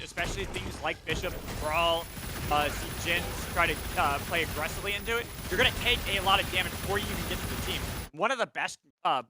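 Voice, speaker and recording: Give the voice of a male esports caster with a high pitch. He calls the action live in an excitable play-by-play manner. Game noise is clearly audible behind him. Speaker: esports shoutcaster. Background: video game sounds.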